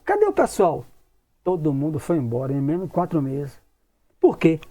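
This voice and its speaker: A man's voice: fairly high pitch, wildly swooping pitch, normal speed, mellow. A man, leading an informal study talk and acting out imagined questions in a conversational way. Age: 60s. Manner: conversational, acts out imagined questions, repeats tag questions. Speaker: spiritist study group leader and lecturer.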